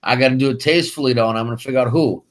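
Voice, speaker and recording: A man's voice: mid-range voice, rapid-fire. A man co-hosting a casual political talk show, arguing emphatically with many restarts. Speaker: co-host.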